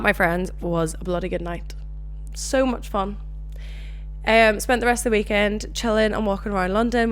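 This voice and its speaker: A young Northern Irish woman hosting her own podcast, chatting casually and telling animated stories. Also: medium pitch, slight background noise. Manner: chatty, animated storytelling with swearing. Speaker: podcast host. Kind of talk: casual solo chat.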